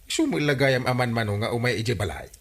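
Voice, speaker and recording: An actor playing a puzzled, blustery village captain with an Ilocano accent in a radio comedy drama. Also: male voice, medium pitch, a little fast, lightly acted. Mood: bemused, blustery. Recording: noisy track with clicks.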